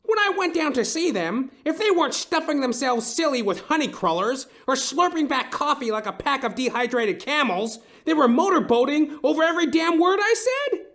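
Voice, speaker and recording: An actor playing a melodramatic, lovesick older timber tycoon with a pompous, theatrical way of talking in a comedic detective audio play. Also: male voice, very high voice, animated, normal speed, few pauses, a bit bright, heavily acted. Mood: indignant. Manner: florid, theatrical, pompous storytelling.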